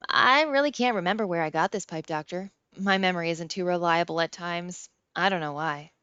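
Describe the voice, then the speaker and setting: A woman's voice: on the low side, brisk, bright, forceful tone, clear, resonant tone, no room sound, muffled recording. Woman reading as a glib, evasive teenage boy. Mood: feigned innocence. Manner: glib, chatty, mock-earnest. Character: evasive, sly teenage boy feigning innocent sincerity.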